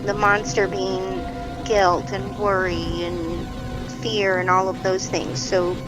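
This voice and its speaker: A woman playing a gentle, reassuring counselor in a naturalistic fiction podcast, soothing and encouraging in a conversational way. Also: mid-range voice, flat delivery, slow pace, over loud music, close to an everyday voice, low-quality recording. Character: gentle, reassuring female counselor on a check-in call. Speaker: therapist or medical counselor.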